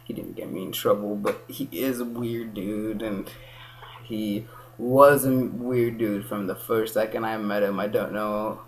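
A man's voice: fairly low pitch, fairly even intonation, unhurried. A young man from Kenya in casual chat, rambling with many restarts and self-corrections.

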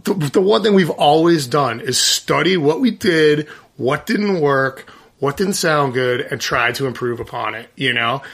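A man's voice: on the high side, lively intonation, measured pace, creaky, a bit bright. A man, a band's singer, chatting casually with lots of restarts and fillers. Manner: rambling, many restarts and fillers. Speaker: singer in a band, guest. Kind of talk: casual conversation.

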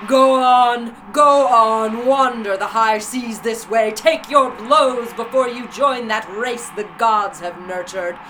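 Woman acting a wrathful, forceful god of the sea. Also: a little high, slow pace, few pauses, a little hiss or hum. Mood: scornful wrath. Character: wrathful sea god taunting a shipwrecked wanderer. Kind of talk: classical epic audio drama.

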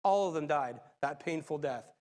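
Excitable raspy-voiced man preaching in a hall. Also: on the high side, moderate pace. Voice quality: raspy from a cold. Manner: excitable, laughs often. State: hoarse, recovering from a cold. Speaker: lay preacher. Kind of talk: sermon.

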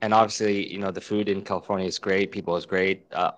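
A friendly man from Hong Kong in his thirties talks in a chatty, easygoing manner, his voice sitting fairly low. The recording is dry and close, with no room sound. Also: level tone, fast talker, dry recording, muffled recording. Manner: friendly, chatty, self-deprecating. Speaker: guest, fitness educator. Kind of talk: casual interview chat.

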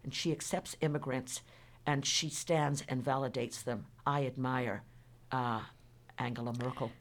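An older woman being interviewed on a radio show, talking in an animated, emphatic way with a faintly noisy background. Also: a bass voice, a little slow. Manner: animated, emphatic, spiritual-minded. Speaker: guest.

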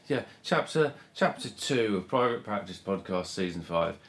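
A man's voice: lowish voice, lively intonation, moderate pace. Teasing podcast co-host, man in his forties. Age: 40s. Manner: teasing, chatty, trails off quietly. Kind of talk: casual podcast chat.

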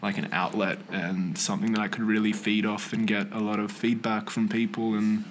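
Man, young, from Australia, low voice, loose fillers, slight background hum. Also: moderate pace. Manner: relaxed, rambling, many fillers. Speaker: guest artist. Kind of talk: casual interview chat. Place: noisy public library. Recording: faint background noise in a library.

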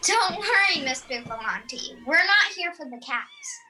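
Actress as breezy female mover, high voice, very lively pitch, teasing, noisy backdrop, audio drama. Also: a little slow, exaggerated voice. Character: cheeky, brisk woman from a museum moving crew. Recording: noise behind the voice.

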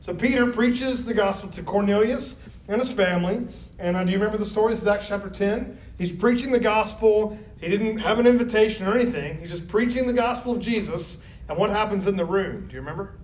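Man, higher pitch, Bible study teacher, asks the class questions, distant mic, lo-fi. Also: brisk. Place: classroom or church meeting room. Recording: roomy, distant, dull lo-fi with faint noise.

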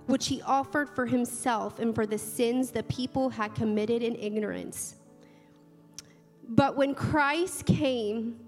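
A woman leading a church service, reading scripture and a communion prayer in a slow, measured way. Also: sits high. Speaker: communion leader. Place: large church hall. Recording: church hall reverb with soft music.

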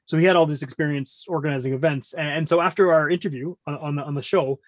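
Rambling man, slightly high, dry close sound. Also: steady tone, quick pace, no room sound. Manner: talkative, rambling, self-interrupting. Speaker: podcast guest, music podcast host. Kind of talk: casual conversational interview.

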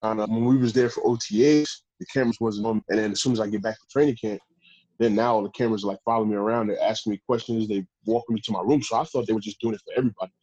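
A man, a professional football player, telling stories in a casual interview with a deep voice and a loose, rambling way of talking. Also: steady tone, racing, darkish tone, no echo, highs cut off. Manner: laid-back, rambling with restarts. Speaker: pro football player guest.

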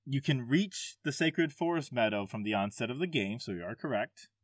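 A man's voice: medium pitch, lively intonation, moderate pace, dry recording. Man hosting a casual quiz, dry close sound. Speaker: podcast host reading quiz questions. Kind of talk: casual gaming podcast quiz.

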